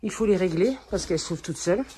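Middle aged lady doing a chatty home tour, really mellow voice, some noise behind her. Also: on the low side, fast. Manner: informal, chatty, talks to her pet in passing. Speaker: vlogger giving a house tour. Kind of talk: casual home-tour chat to camera. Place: indoors, walking through house rooms.

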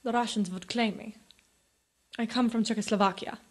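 A woman's voice: fairly high pitch, steady tone, moderate pace. An actress playing a sorrowful, restrained young woman in a radio drama. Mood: resigned. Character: guarded, sorrowful young Central European woman in love.

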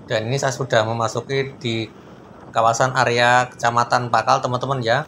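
A man's voice: mid-range voice, flat delivery, normal speed, fairly bright tone. A man giving a casual running commentary while riding a motorbike through city traffic, often addressing his viewers as friends. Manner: chatty, keeps addressing friends, frequent fillers. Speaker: motovlog host. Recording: road traffic noise behind. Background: engine and traffic noise.

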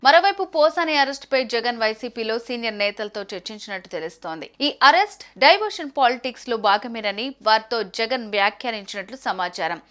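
A woman's voice: on the high side, lively intonation, brisk, few pauses, a bit bright, faint music behind. A woman news presenter reading a scripted report in a formal news narration style.

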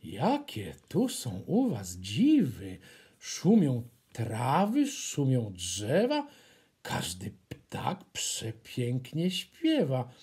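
A man voicing a grateful city rabbit visiting in a children's storybook, gentle, wondering and husky. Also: mid-range voice, wildly swooping pitch, extremely slow, full character voice. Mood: delighted.

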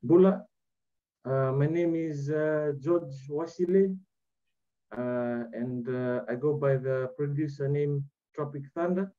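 Fijian male music producer, thirties, relaxed timbre, easygoing and hesitant delivery, slightly lo-fi call sound. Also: a little high, slow pace. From Rotuma, Fiji. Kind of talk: casual interview answers. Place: small room indoors, on a video call. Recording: dull video-call audio.

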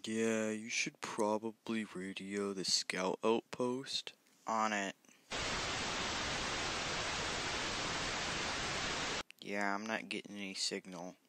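A man's voice: lowish voice, fairly even intonation, measured pace, lightly acted. A man voicing a stern, military toy army officer in a stop-motion film. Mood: matter-of-fact, urgent. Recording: very noisy amateur home recording.

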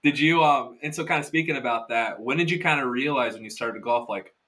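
A man's voice: medium pitch, fairly quick, bright, forceful tone. A young man hosting an interview over a headset, laid-back and slangy, laughing often. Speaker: interviewer, host. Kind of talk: casual interview chat. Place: indoors in front of brick wall.

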